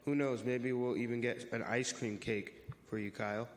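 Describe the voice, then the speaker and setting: Casting: earnest male youth for church reader's theater, played by a man, explanatory and friendly, mid-pitched, heard in a reverberant hall. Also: fairly even intonation, normal speed, lightly acted. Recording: echoey hall with long reverb.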